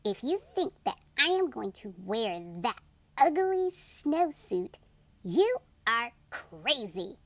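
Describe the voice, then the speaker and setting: A woman voicing a stubborn, defiant little boy with flat, drawn-out refusals, for a children's storybook. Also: fairly high pitch, no echo, exaggerated voice. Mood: indignant. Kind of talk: children's storybook read-aloud.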